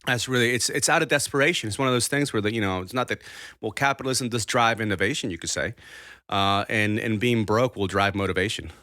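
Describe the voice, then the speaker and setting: A man's voice: on the low side, quick pace, bright, forceful tone, no room sound, studio quality. A man in his thirties from Argentina, a podcast guest talking casually in a rambling, self-interrupting way. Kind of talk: casual conversation.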